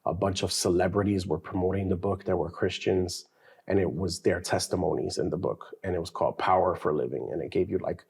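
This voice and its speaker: Low-voiced man telling his story. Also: a little fast, vocal fry. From New York. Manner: conversational, animated, slangy. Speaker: podcast co-host. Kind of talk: casual testimony chat.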